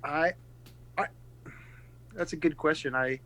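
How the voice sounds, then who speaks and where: A middle-aged man from California and Texas, a pastor speaking earnestly and reflectively in a casual podcast interview, pausing often and restarting his sentences. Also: on the high side, bright, forceful tone. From California and Texas, USA.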